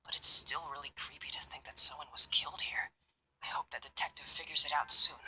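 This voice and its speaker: A man voicing a nervous, soft-spoken young male college student in a visual novel game, with a breathy, hesitant delivery. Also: brisk, poor audio. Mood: sheepish, uneasy. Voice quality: breathy, whispery.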